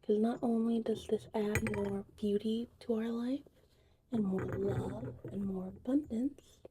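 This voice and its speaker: A woman hosting a soft-spoken ASMR tutorial roleplay, chatting casually in a hushed voice that drifts in and out of whispers. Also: mid-range voice, fairly even intonation, measured pace, background noise. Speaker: ASMR creator and host.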